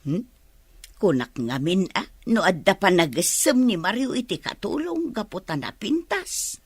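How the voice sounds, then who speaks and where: Radio drama: older mother, exasperated and complaining, raspy husky tone, very dry recording. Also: mid-range voice, sing-song, unhurried, dry recording. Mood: grumbling, regretful. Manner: grumbling, exasperated. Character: suspicious, grumbling older mother of a married daughter.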